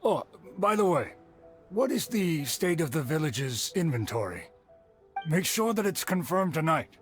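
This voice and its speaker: An actor playing a composed, authoritative caravan leader in a video game, brisk and commanding. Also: male voice, fairly high pitch, sing-song. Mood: businesslike. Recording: music behind.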